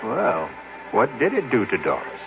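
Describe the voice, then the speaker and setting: A man's voice: mid-range voice, huge pitch swings, unhurried, gravelly, soft background music. A man hosting a radio drama with scripted dramatic narration. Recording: dull old radio broadcast.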